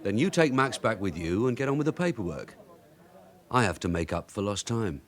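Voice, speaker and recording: An actor playing a shrewd, blunt, commanding detective sergeant in a radio drama. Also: male voice, on the low side, sing-song, a little fast. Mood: easygoing. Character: shrewd, brisk veteran London police detective sergeant.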